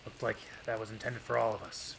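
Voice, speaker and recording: An actor playing a tough, seasoned police detective in an old-time radio drama, talking in clipped, hard-boiled fashion. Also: male voice, on the low side, level tone, a little fast, gravelly, noisy background. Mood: steady. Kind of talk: 1930s radio drama acting.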